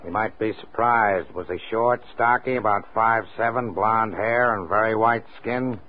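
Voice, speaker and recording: An actor playing a gruff, skeptical police sergeant in an old radio crime drama, dry and needling. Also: male voice, on the low side, measured pace, natural voice. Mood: brisk, sardonic. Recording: tinny old radio recording.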